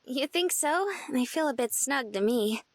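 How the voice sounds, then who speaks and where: An actress playing a sweet, girlish young woman in a visual novel, speaking in an innocent, soft-edged way. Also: high voice, lively intonation, measured pace. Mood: gentle, tentative. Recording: game audio with music behind.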